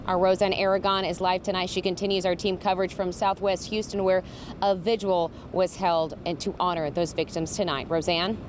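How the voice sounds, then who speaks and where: A woman TV news reporter giving a steady, measured live field report. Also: on the low side, a little hiss or hum. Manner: steady and measured, briefing viewers on the latest.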